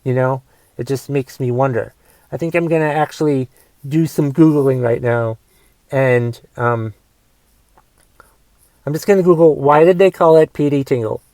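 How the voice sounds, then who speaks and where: A man in his forties with a raspy voice from a cough, casually rambling through a movie review on his podcast and thinking aloud with lots of fillers. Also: mid-range voice, moderate pace, long pauses, mellow, dry recording. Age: 40s. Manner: thinks aloud, musing questions, trailing fillers. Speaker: podcast host.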